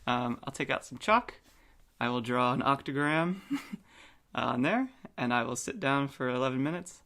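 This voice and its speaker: A woman speaks as a player in a tabletop roleplaying game. Her voice is remarkably deep for a woman. She talks casually, laying out her actions in a halting, deliberate way. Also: expressive, a bit bright.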